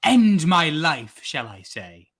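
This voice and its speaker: A man's voice: on the high side, big pitch swings, slow pace, fairly bright tone, slightly rough, heavily acted. An actor playing a defiant, sardonic man in an old-time radio drama, with a dry, mock-polite manner. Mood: dryly amused. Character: defiant, sardonic man refusing a threatening visitor.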